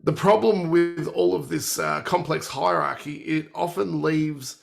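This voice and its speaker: Croaky man with a cold presenting. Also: fairly high pitch, fairly even intonation, measured pace, soft background music. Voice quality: gravelly. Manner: explanatory, walking through product features. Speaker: workshop presenter. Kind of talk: technical webinar presentation.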